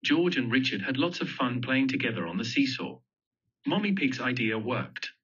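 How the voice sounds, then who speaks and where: A man's voice: mid-range voice, sing-song, normal speed, a bit bright, husky. A man reading a children's picture book aloud, telling the story simply and expressively. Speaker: storybook reader. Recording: dull lo-fi audio.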